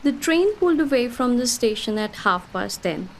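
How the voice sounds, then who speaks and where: High-pitched lady traveller telling her tale. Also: big pitch swings, moderate pace, a little hiss or hum. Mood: fascinated, wondering. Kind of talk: radio play storytelling, reading a travel account.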